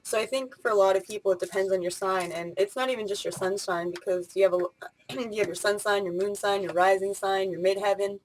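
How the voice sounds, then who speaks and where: A woman in her late twenties rambles casually to her camera, full of ums and restarts. Her pitch sits in an ordinary middle range, and she talks on a camera mic, probably at home. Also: steady tone, a little fast, slight background noise, low-quality recording. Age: 20s. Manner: rambling, spur-of-the-moment talk with many fillers. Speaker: vlogger.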